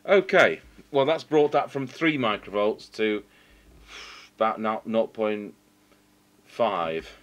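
A man's voice: fairly low pitch, slow pace, pauses often. A man giving an informal hands-on technical demonstration, matter-of-fact, thinking aloud as he works at a bench. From United Kingdom. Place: indoors at a repair bench. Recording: clearly noisy workshop camera recording. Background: steady background noise.

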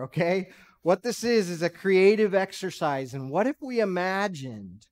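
A man in his thirties preaches from a church stage, his voice sitting a bit high. He talks in a relaxed, conversational way, posing questions to the congregation. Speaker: pastor. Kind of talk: sermon. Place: large church sanctuary stage.

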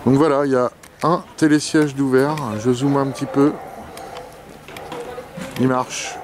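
A man's voice: mid-range voice, fairly even intonation, measured pace, faint music behind. A middle-aged man, narrating a family motorhome trip in a casual, chatty way with many fillers. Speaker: family travel vlogger. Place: outdoors at a ski resort and in a motorhome. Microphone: handheld camera mic. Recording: noisy outdoor camera audio. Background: vehicle and outdoor ambience.

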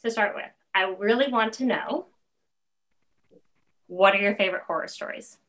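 A middle-aged woman interviews guests over a video call from a room at home. Her voice is mid-pitched and conversational. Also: moderate pace, background noise. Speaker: host, interviewer. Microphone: computer video call.

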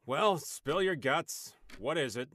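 An actor playing an abusive, hot-tempered deadbeat boyfriend in a radio drama, gruff and contemptuous. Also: male voice, fairly high pitch, lively intonation, slow pace, a bit bright, heavily acted. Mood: surly.

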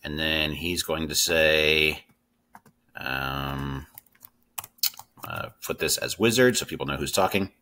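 A man's voice: extremely low pitch, slow pace, long pauses, sharp tone, slight background noise. A middle-aged man hosting a casual screen-share coding tutorial. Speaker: tutorial host.

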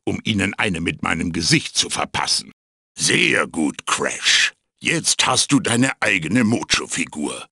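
A man voicing a wise, fussy magical tiki mask mentor in a video game, with a raspy, theatrical delivery. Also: on the low side, bright, forceful tone, no room sound, studio quality, heavily acted. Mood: pleased. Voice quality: raspy, gravelly. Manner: theatrical, emphatic, swooping delivery. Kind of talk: German video game voice acting, tutorial hints and quips.

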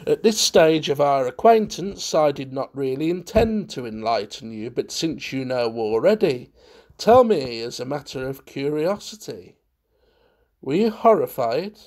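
A man voicing a grim, secretive collector in a storybook, speaking in a measured, hard, coldly courteous way. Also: high-pitched, big pitch swings, vocal fry, darkish tone, pitched above the performer's own voice, heavily acted. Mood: guarded. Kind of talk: dramatised storybook reading.

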